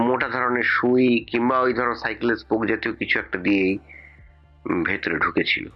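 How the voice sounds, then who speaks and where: A man's voice: on the low side, lo-fi sound. A man playing a police investigator in an audio story, emphatic and explanatory, defensive about a case that baffles him. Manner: emphatic, explanatory, with rhetorical questions.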